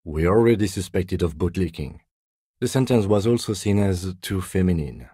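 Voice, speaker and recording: A man acting a reserved, dutiful police detective in a video game, speaking in a measured, formal, deadpan way with dry courtesy. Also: low-pitched, husky, no room sound. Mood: measured, matter-of-fact. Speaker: voice actor.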